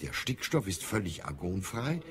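Casting: eager, self-important innkeeper in audio drama, low voice, extremely lively intonation. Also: male voice, moderate pace, vocal fry, exaggerated voice. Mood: chatty, proud. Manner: talkative, boastful, eager to please. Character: chatty, self-important innkeeper, proud of his night-school learning. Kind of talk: German audio drama acting.

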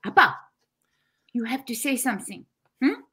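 High, lively Korean immigrant mom, broken English, pleading. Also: female voice, moderate pace, husky, exaggerated voice. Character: fretful Korean immigrant mother, peacemaking. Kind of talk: live table read. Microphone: video call.

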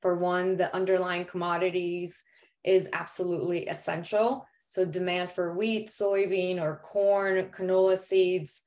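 A woman's voice: lowish voice, level tone, a little slow, darkish tone. A woman born in Poland and raised in Greece, giving an earnest artist presentation on a video call, with the odd "um" as she explains. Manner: earnest, explanatory, some hesitant fillers.

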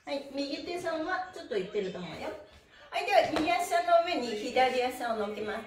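A woman's voice: sits high, sing-song, fast, far from the mic, background noise. A middle-aged woman leading an exercise lesson, cheerful and playful, calling out instructions and rhythmic chants. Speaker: stretching instructor and host. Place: small indoor room with bare walls. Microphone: camera mic from a few steps away. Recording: echoey room, away from the mic.

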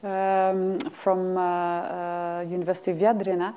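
A woman's voice: fairly low pitch, monotone, a little slow, mellow, clean tone. A young woman moderating a panel discussion on stage, polite and courteous, with a handheld mic in a small lecture hall. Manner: polite, with many fillers and affirmations.

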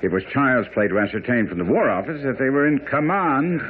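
An actor playing a brisk, confident, keen detective who lectures incisively in a radio drama. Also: male voice, medium pitch, lightly acted. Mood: assured. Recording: phone-like, faintly noisy old radio broadcast.

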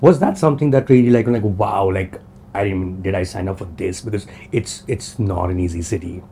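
A man hosts a relaxed studio interview, firing teasing follow-ups. His voice sits very low and mellow, with a faint background hum. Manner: teasing, quick follow-up questions. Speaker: interview host. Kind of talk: casual interview chat. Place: studio set with sofas. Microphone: lapel mic.

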